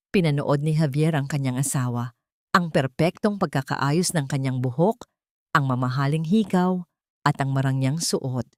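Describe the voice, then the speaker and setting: A woman with a low voice narrates an audio drama in a measured, dramatic way. Her voice rises on tense moments. The recording is dry and close, with no room sound. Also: a little mellow, no room sound.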